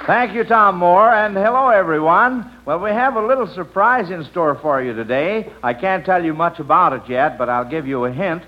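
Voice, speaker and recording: A man's voice: high-pitched, lively intonation, normal speed, hardly any pauses, muffled recording. An American man hosting an old-time radio quiz show, on a vintage recording.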